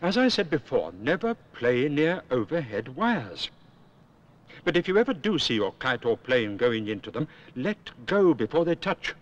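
A man's voice: medium pitch, very animated, normal speed, lightly acted. A British man voicing a wise, stern cartoon owl who lectures emphatically about safety in a 1970s public information film. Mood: grave, cautioning. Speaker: safety instructor. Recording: dull old film soundtrack with music.

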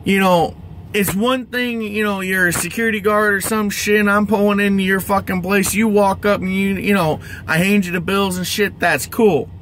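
A man's voice: high voice, steady tone, moderate pace, a bit bright, a little hiss or hum. A middle-aged American man, a truck driver, ranting to camera in an exasperated, emphatic, heavily profane way. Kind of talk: angry vlog rant. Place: inside a truck cab.

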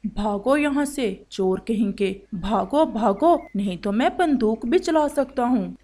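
Woman as a slow, steady father bird. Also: on the high side, pitched below the performer's own voice. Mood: firm. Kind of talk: children's cartoon story acting.

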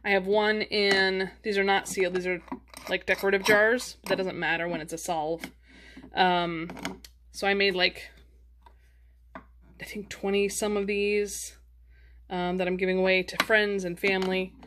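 A woman talks at a middling pitch in a casual, rambling vlog style. She is chatty and a little self-deprecating, making it up as she goes. The handheld home recording has noticeable background noise. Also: flat delivery, moderate pace, pauses often. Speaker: home canning vlogger. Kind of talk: casual unscripted show-and-tell. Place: indoors at home. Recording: clear background noise, handling clicks.

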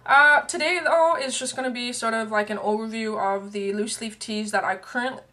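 A woman's voice: on the high side, normal speed, sharp tone. A young woman from Canada vlogging casually to her camera from a small room, rambling with lots of fillers and asides while her voice wears out. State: voice worn and fading. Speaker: beauty and tea vlogger. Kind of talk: casual chatty vlog. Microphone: webcam at arm's length.

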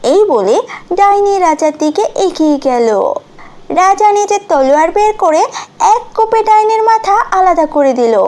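A female storyteller reads scripted cartoon fairy-tale narration. Her voice is extremely high, almost childlike in pitch, and she takes it very slowly. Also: a little mellow, a little hiss or hum.

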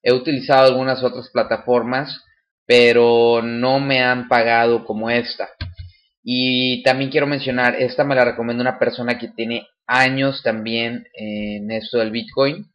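A man's voice: mid-range voice, level tone, measured pace, dull audio. A man casually explaining a website step by step, with fillers and restarts. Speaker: channel host demonstrating a website. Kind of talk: casual screen-recorded tutorial.

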